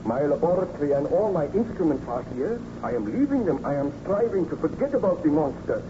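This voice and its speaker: An actor playing an anguished, guilt-ridden scientist baron in a theatrical, formal old radio melodrama style. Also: male voice, on the high side, sing-song, brisk. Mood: soothing, earnest. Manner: theatrical, fervent, formal diction. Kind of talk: 1930s radio melodrama acting. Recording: muffled, noisy old radio recording.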